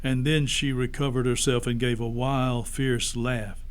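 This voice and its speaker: Male storybook narrator, medium pitch. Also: flat delivery, measured pace, smooth. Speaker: pastor reading to children.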